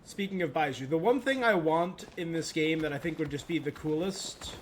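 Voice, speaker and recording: A man's voice: fairly high pitch, lively intonation, moderate pace, a little hiss or hum. A man giving casual, chatty commentary as a game livestreamer.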